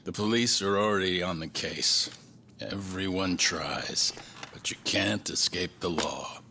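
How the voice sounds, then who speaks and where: An actor playing a gruff, wry elderly law office boss in a video game drama. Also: male voice, sits low, a little slow, bright, forceful tone, a little hiss or hum, heavily acted. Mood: dry, knowing. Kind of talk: video game cutscene acting.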